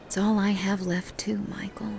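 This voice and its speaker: A woman's voice: medium pitch, flat delivery, moderate pace, gravelly, darkish tone, natural voice. An actress playing a grieving, defiant young widowed mother-to-be in a video game drama, wounded but firm. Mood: subdued sorrow. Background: music.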